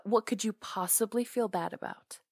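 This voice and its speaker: A woman's voice: medium pitch, moderate pace, vocal fry, a little mellow, breathy edge, no room sound, clean studio sound. A woman acting in an intimate ASMR roleplay as a hair stylist, talking casually in a caring, teasing way.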